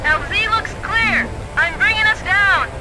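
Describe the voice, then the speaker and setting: A man playing a brisk military dropship pilot in a sci-fi game, speaking in a raised voice. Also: extremely high pitch, over loud music, over a noisy crowd, poor audio. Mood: businesslike. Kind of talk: video game cutscene acting. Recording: loud aircraft engine noise.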